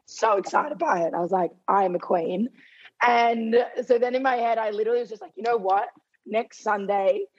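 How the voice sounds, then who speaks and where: A woman's voice: medium pitch, a little mellow, husky, lo-fi sound. A young woman in her twenties, a guest in an interview, talking casually and saying "like" constantly while she is losing her voice. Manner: chatty, heavy use of "like". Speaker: guest runner.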